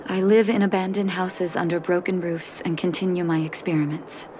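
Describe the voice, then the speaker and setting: A woman playing a grave, resolute young healer in a video game, measured and reflective as she grieves a lost brother. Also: fairly low pitch, fairly even intonation, a little mellow, a little hiss or hum, lightly acted. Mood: solemn, reading aloud. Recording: game music behind the voice.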